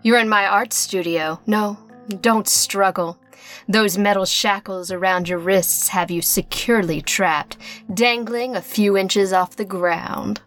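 Lady doing a femme fatale villain, slow n teasing, gloating, radio play spoof, bit of music behind. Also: mid-range voice, fairly even intonation, fairly bright tone, lightly acted. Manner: teasing, theatrical, unhurried. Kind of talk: parody radio mystery acting.

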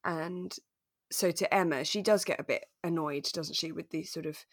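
A woman co-hosting a podcast in relaxed, conversational chat, retelling a drama and acting out bits of it. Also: low voice, normal speed, no echo, studio quality. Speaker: podcast co-host.

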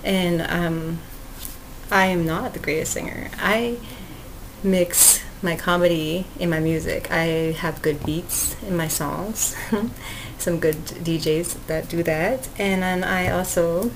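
A woman talks alone into her podcast mic in a sweet, cute voice that sits slightly low. Her speech rambles along with fillers, restarts and little laughs. A faint hum of background noise runs under the recording. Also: slow pace. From Canada. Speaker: podcast host. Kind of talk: casual solo podcast talk.

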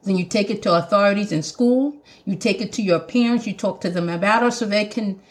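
A woman's voice: medium pitch, a little fast. A woman with a Jamaican accent hosting a podcast, talking earnestly and emphatically like a sermon. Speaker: podcast host. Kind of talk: preaching podcast monologue.

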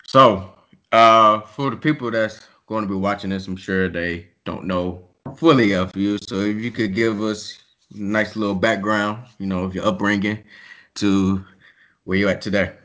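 Very low-voiced male host, slangy and easygoing, dull lo-fi sound. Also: fairly even intonation, normal speed, fairly bright tone. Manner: laid-back, slangy. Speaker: podcast host. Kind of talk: casual podcast chat.

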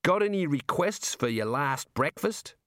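A male narrator acts out a jovial Australian prison warder in a storybook. He is breezy, matey and folksy, cheerfully morbid about his work. The voice sits a bit high and sounds close and dry. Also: animated, unhurried, fairly bright tone, no room sound.

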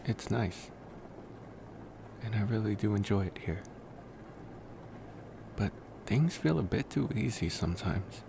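A man playing a reflective, gentle young college vampire in an ASMR roleplay, musing softly and intimately to the listener. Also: fairly low pitch, creaky. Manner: musing, rambling, with pauses and sighs. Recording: noticeable steady background noise.

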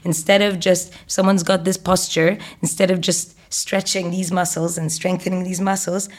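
Slightly low-voiced woman explaining at length. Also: level tone, normal speed. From Egypt. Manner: fluent, explanatory, with frequent um and uh. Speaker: guest, fitness educator. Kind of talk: interview conversation.

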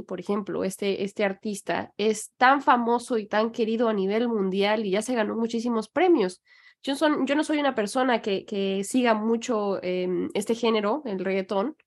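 Reflective Mexican woman podcast host. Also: on the high side. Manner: reflective, animated, speaks in long flowing thoughts. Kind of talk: casual conversational chat. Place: living in New York.

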